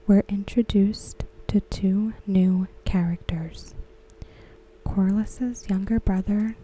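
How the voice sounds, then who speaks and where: Slow breathy whispering woman. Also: mid-range voice, dark tone, low-quality recording. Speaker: ASMR creator. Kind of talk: soft-spoken ASMR recap.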